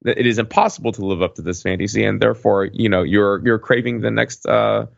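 Middle-aged male panelist, deep voice, hesitant casual storyteller, close dry but slightly muffled sound. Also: steady tone, fast talker, creaky, dry recording. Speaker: podcast panelist.

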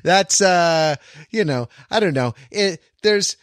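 A man hosting a casual panel podcast, musing in a halting, wry and tentative way. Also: high voice, expressive, no room sound, clean studio sound. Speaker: podcast host.

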